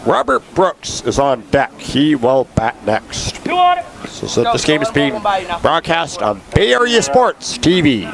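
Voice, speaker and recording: Male baseball announcer, congested, lively pitch, chatty, loud ballpark crowd behind. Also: slow, vocal fry. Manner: chatty, digressive with sports history asides. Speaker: play-by-play announcer. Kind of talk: baseball play-by-play commentary.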